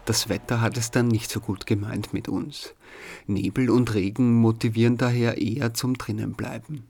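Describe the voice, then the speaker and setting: A man's voice: mid-range voice, flat delivery, moderate pace, gravelly, darkish tone, husky. A man with an Austrian accent, hosting a radio programme and reading scripted narration. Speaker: radio show host.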